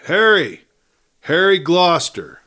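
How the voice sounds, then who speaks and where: A man's voice: fairly high pitch, very animated, slow pace, pushed and bright, pitched above the performer's own voice, heavily acted. Man reading as an anxious, pleading young woman. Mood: urgent, worried. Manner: crying out and pleading.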